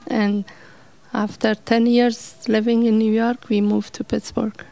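A middle-aged woman, telling her life story in an interview in halting, simple English with fillers. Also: a little high, little pitch movement, gravelly, mellow. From Afghanistan.

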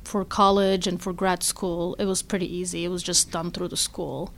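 A woman in her thirties from Turkey shares her story in an interview. Her voice sits low with a gravelly edge. She talks in a relaxed way, letting sentences trail off softly. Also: moderate pace. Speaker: interview guest.

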